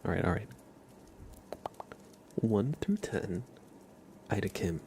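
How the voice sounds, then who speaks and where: A man chatting softly as an ASMR livestream host, hushed and often slipping toward a whisper. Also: on the low side, sing-song, slow pace, slight background noise. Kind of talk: soft-spoken ASMR livestream chat.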